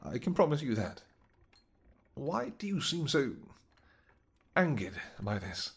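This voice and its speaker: A man playing a devoted vampire king in an intimate audio roleplay, speaking earnestly and gently in halting, formal, old-fashioned words. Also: medium pitch, big pitch swings, gravelly, slightly rough, exaggerated voice. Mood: worried, pleading. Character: devoted vampire king, tender, anxious, apologetic husband.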